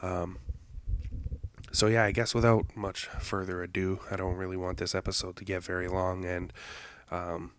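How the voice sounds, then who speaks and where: A man hosting a podcast, congested from allergies, rambling in a halting way with many fillers and self-corrections. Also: deep voice, little pitch movement, fairly bright tone, slight background noise. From Canada. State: congested, stuffed-up nose. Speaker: podcast host. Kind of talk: rambling solo intro.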